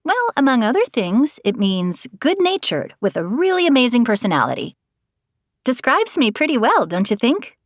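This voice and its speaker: A man's voice: very high voice, huge pitch swings, moderate pace, a bit bright, dry recording, clean studio sound, voice pushed up, heavily acted. A man voicing a mischievous young female AI companion, teasing and perky, in an audiobook. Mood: pleased, playful. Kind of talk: audiobook character voice.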